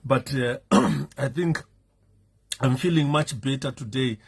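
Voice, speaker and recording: A Zimbabwean man with a hoarse, fading voice, talking in a halting live-streamed talk with many fillers. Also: mid-range voice. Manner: halting, many fillers, switches into Shona and sings. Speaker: political commentator.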